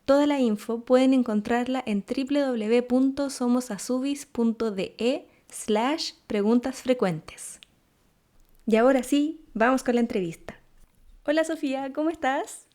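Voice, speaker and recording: A woman's voice: high-pitched, measured pace, warm tone. A woman hosting an interview show, presenting and asking questions in Spanish. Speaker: podcast host and interviewer. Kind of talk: scripted introduction and interview.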